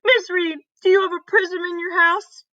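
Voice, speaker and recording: An eager young girl detective from a storybook, voiced by a woman, high and bright. She is earnest, determined and excitable about her mystery. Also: moderate pace, no echo, lo-fi sound.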